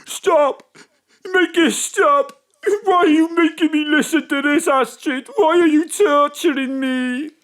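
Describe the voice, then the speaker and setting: A woman acting in an audio drama as rattled, excitable local men, theatrical and protesting. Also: high voice, takes it slowly. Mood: panicked, pleading. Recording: remote home-studio recording.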